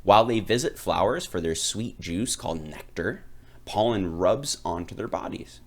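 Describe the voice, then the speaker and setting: A man reading a children's picture book aloud, phrasing it deliberately and with expressive emphasis. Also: deep voice. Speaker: read-aloud reader.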